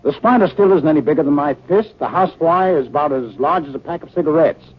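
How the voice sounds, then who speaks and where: An actor playing an eager, impatient scientist husband in a 1950s radio drama, brisk and condescending. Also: male voice, fairly high pitch, slightly rough. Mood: enthusiastic, teasing. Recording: muffled 1950 radio recording.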